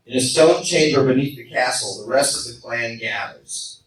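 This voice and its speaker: An actor narrating a radio play, reading scripted scene-setting lines. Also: male voice, mid-range voice, lively intonation, moderate pace, close to an everyday voice. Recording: echoey hall fan recording, distant, faint noise.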